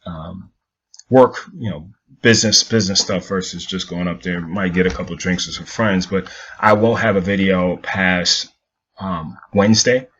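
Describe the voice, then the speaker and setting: A man's voice: deep voice, fairly even intonation, moderate pace, fairly bright tone, muffled recording. A man from Jamaica giving a casual stock market recap over charts, rambling and repeating himself.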